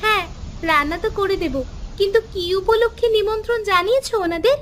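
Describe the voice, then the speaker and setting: A woman's voice: very high voice, fairly quick, noisy background. A woman voicing a devoted, eager young village wife in a cartoon. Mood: warm, curious. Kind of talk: cartoon dialogue dubbing.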